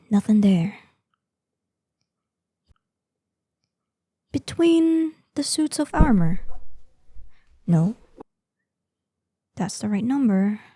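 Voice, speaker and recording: Woman voicing a slow, dark-toned, imperious she-dragon. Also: medium pitch, husky, no echo, clean studio sound, exaggerated voice. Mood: taunting hunt. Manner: slow, imperious, savoring threats. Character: ancient, menacing, proud female dragon guarding hoard, lonely, excitable. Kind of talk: scripted audio roleplay acting.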